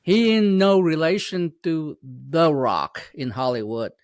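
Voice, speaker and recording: A man's voice: on the high side, slow pace, no room sound, studio quality. A man hosting an interview show, asking questions with frequent stumbles and self-corrections. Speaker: host. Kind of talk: casual interview.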